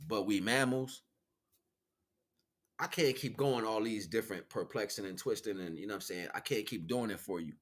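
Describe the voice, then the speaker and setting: A man's voice: fairly low pitch, normal speed. An American man giving a rambling, emphatic, freeform spiritual monologue in casual street slang, swearing freely. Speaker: livestream host, self-styled spiritual teacher.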